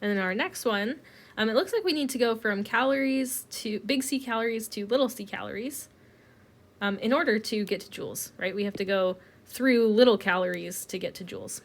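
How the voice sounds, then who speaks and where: Woman teacher, mid pitch, recovering from a cold, casual step-by-step lecture. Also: a little fast. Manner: relaxed, explains step by step with many ums. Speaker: chemistry teacher. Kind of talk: recorded lecture over slides.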